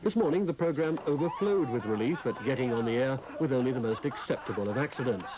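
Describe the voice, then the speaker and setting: A man's voice: medium pitch, lively intonation, quick pace, background noise. A British man reading scripted narration as a television reporter. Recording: crowd chatter behind the voice.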